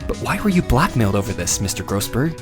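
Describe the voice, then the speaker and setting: A man's voice: mid-range voice, sing-song, quick pace, over music, lightly acted. A man playing an earnest, dogged young defense lawyer in a voiced game drama. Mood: curious musing. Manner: musing aloud, then pointed and accusatory.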